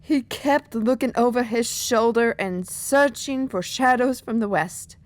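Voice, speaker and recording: Woman as a slow, weary pegasus mercenary captain. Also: on the high side, dry recording, lightly acted. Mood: troubled. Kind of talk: audio drama acting.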